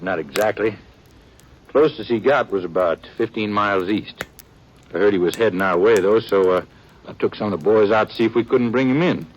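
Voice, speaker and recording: An actor playing a steady, plainspoken western sheriff in an old-time radio drama, folksy and measured, with an American accent. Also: male voice, on the low side, close to an everyday voice. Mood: weary, matter-of-fact. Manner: folksy, measured, repeats himself. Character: plainspoken, steady frontier sheriff, a grown man. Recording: muffled, noisy vintage radio recording.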